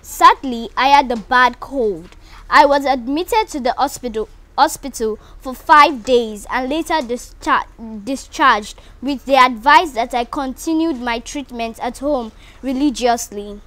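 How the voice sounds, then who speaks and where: A woman reading a first-person story aloud, deliberate, with small stumbles over words. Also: sits high, a bit bright. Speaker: reader.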